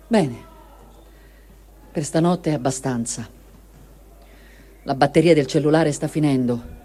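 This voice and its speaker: A woman reads diary entries aloud in a quiet, earnest manner. Her voice sits in an ordinary middle pitch. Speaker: reader.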